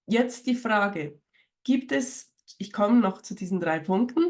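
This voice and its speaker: A woman speaks straight to her viewers as a spiritual coach, a bit hoarse from a lingering cold. Her voice sits at an ordinary middle pitch for a woman. She talks in a loose, rambling way, full of fillers and self-corrections. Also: animated, takes it slowly. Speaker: spiritual coach and channel host. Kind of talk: casual talk to camera.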